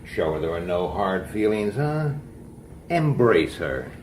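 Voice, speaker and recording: An actor playing a gruff, world-weary police detective in a radio drama, with a blunt manner. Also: male voice, low voice, very animated, takes it slowly, darkish tone, heavily acted. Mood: confrontational. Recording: very noisy old radio drama recording.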